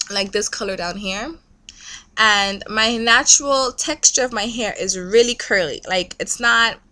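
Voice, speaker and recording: A woman's voice: mid-range voice, bright, forceful tone. A young woman from Trinidad talking candidly in a casual vlog, rambling and peppering her speech with "like". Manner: chatty, candid, heavy use of "like". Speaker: vlogger.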